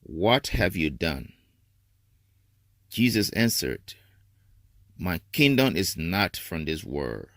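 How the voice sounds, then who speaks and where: A man giving a solemn, dramatic scripture reading with deliberate, expressive phrasing. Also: low voice, vocal fry, dry recording, clean studio sound. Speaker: scripture reader.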